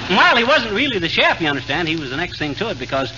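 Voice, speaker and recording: An actor playing a folksy, blustering husband full of wisecracks in a 1940s radio comedy. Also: male voice, high-pitched, very animated, brisk. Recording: noisy, muffled 1940s radio recording.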